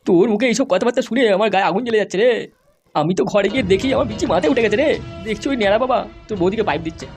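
Man playing a menacing, high-pitched cartoon gangster boss. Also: animated, very fast, breathy edge, faint music behind. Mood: aggrieved, furious. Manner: menacing, swearing, boastful. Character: vengeful foul-mouthed male gangster politician, the big boss. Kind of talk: comedy cartoon dialogue.

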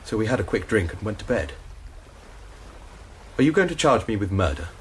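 A man's voice: fairly low pitch, sing-song, brisk, slight background noise. An actor playing an anxious young male student, telling his story in a rambling, worried way in a radio drama. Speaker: student suspect. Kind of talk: BBC radio drama acting.

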